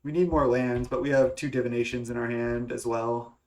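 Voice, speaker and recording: Young man, stuffy nose, hesitant, lots of ums, casual game commentary, average pitch. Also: fairly even intonation, normal speed, a little mellow. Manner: thinking aloud, hesitant with many fillers and restarts. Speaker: gaming content creator. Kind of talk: casual play-by-play of a card game.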